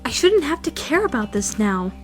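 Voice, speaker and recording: A woman's voice: high-pitched, sing-song, normal speed. Woman playing an anxious, brooding teenage schoolgirl, music behind. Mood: agitated, questioning. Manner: introspective, questioning, self-critical. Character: anxious, brooding fourteen-year-old schoolgirl, self-doubting. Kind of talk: scripted first-person narration in a visual novel fandub.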